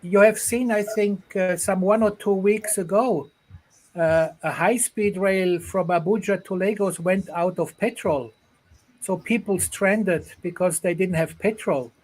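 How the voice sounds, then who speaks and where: Man from Ghana, high voice, earnest panel guest on video call, restarts a lot. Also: a little slow, a little mellow, poor audio. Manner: earnest, repeats phrases, restarts often. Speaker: guest, rail project executive. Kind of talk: online panel discussion.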